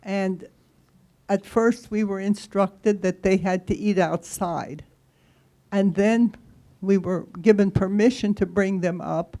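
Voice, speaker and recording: A woman's voice: mid-range voice, mellow. Elderly woman over 80, from rural Kansas, German mother tongue, audience member sharing personal memories. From rural Kansas, United States. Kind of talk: personal reminiscence at a book talk.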